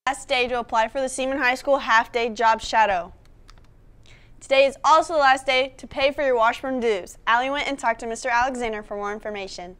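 High, clear teenage girl reading news. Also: a little fast, fairly bright tone. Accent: American. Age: teens. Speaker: student news anchor. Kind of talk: scripted news announcements. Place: indoor school studio.

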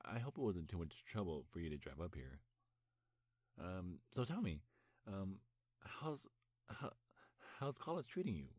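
Low-voiced, nervous lovestruck young man, acted by a man. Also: big pitch swings, darkish tone, no echo, studio quality, full character voice. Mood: excited, shy. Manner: halting, stammering, earnest. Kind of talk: intimate ASMR boyfriend roleplay.

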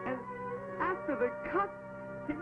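A man's voice: extremely high pitch, lively intonation, measured pace. A man voicing a jittery, gossipy veteran navy airplane in a 1940s cartoon, stammering and repeating words in a folksy way. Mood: wistful. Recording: tinny 1940s film soundtrack with music.

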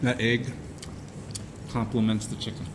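A man casually vlogging over food in a restaurant, talking in a relaxed, halting way with fillers. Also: medium pitch. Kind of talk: casual vlog chat. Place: busy restaurant. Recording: noisy restaurant ambience.

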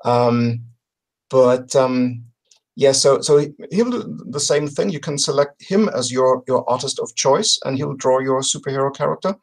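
Halting middle-aged man. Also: mid-range voice, gravelly, a little mellow. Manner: halting, word-searching delivery. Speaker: podcast guest presenting a crowdfunding campaign. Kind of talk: casual interview talk. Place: home room with bookshelves, on a video call.

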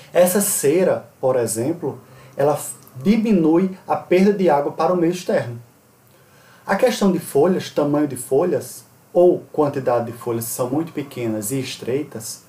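A Brazilian man in his thirties explains plant science in a steady, methodical lecture, now and then catching and fixing a word. His voice sits a little high for a man. It is picked up by a webcam at some distance, so a roomy echo hangs around it. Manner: steady explanatory delivery with occasional self-corrections. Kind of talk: recorded online conference lecture. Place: small indoor room.

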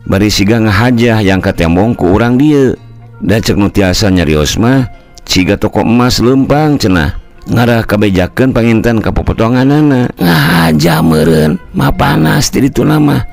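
A man's voice: on the low side, expressive, normal speed, gravelly, faint music behind. A man with a Sundanese accent voicing a polite village man who relays gossip respectfully in an audio folk story. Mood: gossipy, respectful. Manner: deferential, chatty, storytelling.

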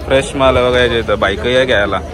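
A man's voice: medium pitch, fairly even intonation, fast talker. A young man with an Agri Marathi accent, chatting casually to viewers as he walks through an outdoor fish market and points out the fish and their prices. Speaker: vlogger. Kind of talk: casual walk-through vlog. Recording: loud market crowd behind. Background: loud crowd of traders and shoppers.

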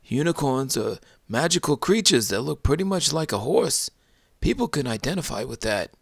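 A man's voice: medium pitch, animated, moderate pace, gravelly, slightly rough, no echo, clean studio sound, pitched above the performer's own voice. A man voicing a whimsical, confident animal puppet sidekick in children's banter. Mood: musing.

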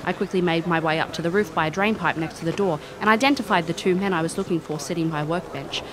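A woman's voice: lowish voice, fast talker, noisy background, close to an everyday voice. A woman playing a cocky, wry private investigator in an audio drama, casual and confident. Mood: storytelling. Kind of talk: audio drama acting and narration.

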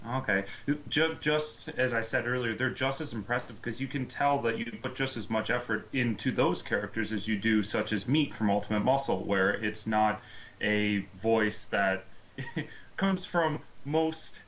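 A man co-hosting a podcast, putting casual questions to a guest in a tentative, halting way. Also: on the low side, fairly bright tone, slight background noise. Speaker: podcast co-host.

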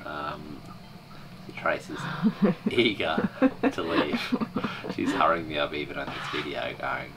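Deep gravelly man, away from the mic. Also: slow pace, over a noisy crowd. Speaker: sailing vlogger. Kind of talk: casual unscripted video diary. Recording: distant mic, steady background hum.

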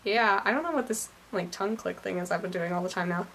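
A woman's voice: fairly low pitch, fast talker, vocal fry, noisy background. A teenage girl vlogging, rambling and self-conscious, with lots of fillers and giggles. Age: teens. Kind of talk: casual chat. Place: car while driving, then a bedroom.

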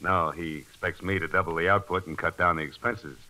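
An actor with an American accent playing a smug, bossy young factory boss, blustering and condescending in 1940s radio style. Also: male voice, low voice, steady tone, brisk, sharp tone, rough recording.

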